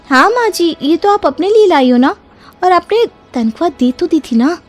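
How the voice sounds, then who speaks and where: A woman's voice: very high voice, animated, moderate pace, faint music behind, voice pushed up. A woman playing a polite, inquisitive young daughter-in-law in an acted moral story, with an eager, respectful manner. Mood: objecting.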